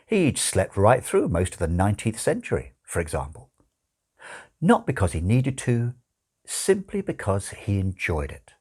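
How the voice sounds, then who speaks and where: A man narrating a comic novel as an audiobook, with wry, expressive storytelling. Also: on the low side. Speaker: audiobook reader.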